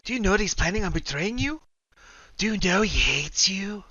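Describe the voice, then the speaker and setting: A cold, manipulative young woman with a high, lively voice, as a male storyteller puts her on, commanding and taunting. Her tone is bright and bold, and she speaks like someone used to getting her way. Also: moderate pace, no room sound, dull audio, exaggerated voice.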